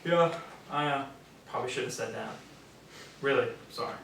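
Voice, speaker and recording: A man's voice: mid-range voice, moderate pace, vocal fry, natural voice. A man playing a self-assured radio drama writer in a stage play, casual and slightly patronizing. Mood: awkwardly apologetic. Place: theater stage, distant mic. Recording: very echoey stage, distant, noisy.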